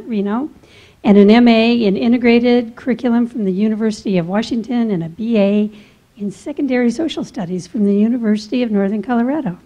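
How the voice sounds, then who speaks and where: Mellow-voiced woman emcee reading an introduction. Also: medium pitch, muffled recording. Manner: measured, formal reading. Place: conference room.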